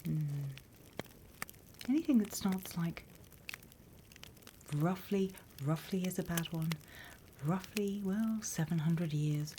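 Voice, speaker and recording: A British woman whispering in an ASMR roleplay as a stern, dry demon instructor, breathy and close to the microphone. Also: fairly low pitch, mellow. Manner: slow, deliberate, dry instructing. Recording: close whisper with background hiss.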